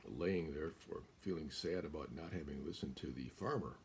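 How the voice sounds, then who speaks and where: An American man in his seventies reading a children's picture book aloud as a guest storyteller, in a deliberate, emphatic way. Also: rumbling low, darkish tone, slight background noise. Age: 70s. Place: small room indoors.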